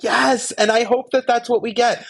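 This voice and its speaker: A man's voice: very high voice, little pitch movement, moderate pace, gravelly, a bit bright, no echo, clean studio sound. A man co-hosting a podcast, chatting casually about a favorite show in an effusive, playfully theatrical way. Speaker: podcast co-host. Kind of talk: casual fan discussion of an audio drama.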